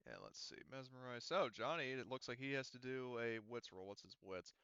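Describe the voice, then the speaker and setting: A man acting as game master in an online roleplaying session, thinking aloud and haltingly working through rules and dice. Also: medium pitch, sing-song, bright, forceful tone, clean tone, dry recording, muffled recording.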